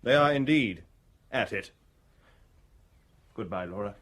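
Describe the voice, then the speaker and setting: An actor playing an evasive English major and politician in a radio drama, upper-class in accent, hesitant and politely stammering. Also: male voice, fairly low pitch, lively intonation, measured pace, fairly bright tone. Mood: brisk.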